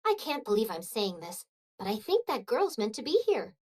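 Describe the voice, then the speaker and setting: A woman's voice: on the high side, very animated, normal speed. A woman playing a proud, effusive young castle-dweller in a video game, with a grandiose, emphatic way of talking. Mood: curious, admiring. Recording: loud music over the voice.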